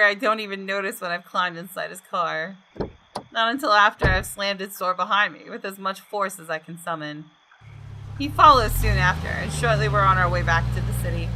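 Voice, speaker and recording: A woman's voice: medium pitch, noisy background. A woman hosting a gaming video, reading the story narration aloud expressively with casual asides, close to the microphone.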